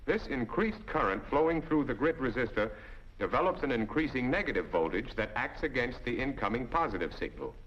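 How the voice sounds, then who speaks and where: A man's voice: mid-range voice, very animated, brisk, fairly bright tone. An American man delivers scripted instructional narration as a training film narrator, explaining methodically step by step in a didactic way. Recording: dull 1940s film soundtrack.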